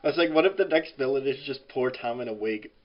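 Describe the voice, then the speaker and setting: A man's voice: mid-range voice, quick pace, lo-fi sound. A man chatting casually as a co-host on a group podcast.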